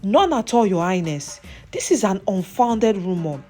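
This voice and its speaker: A woman's voice: medium pitch, very animated, unhurried, a little mellow, soft background music. A woman storyteller voicing an irritated visiting village chief who speaks formally and firmly in a folktale. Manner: formal, firm. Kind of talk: folktale character voice.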